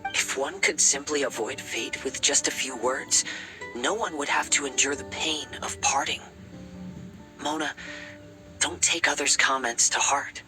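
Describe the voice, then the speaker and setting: A man voicing a grave, gentle fatalist in an anime game, measured and poetic, over music. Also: mid-range voice, natural voice. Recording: background music behind the voice.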